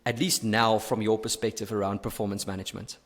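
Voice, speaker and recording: A man's voice: on the low side, level tone, brisk, big-room sound. A man hosting a podcast who talks in an upbeat, effusive way, with hesitant restarts. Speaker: podcast host. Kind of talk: podcast interview.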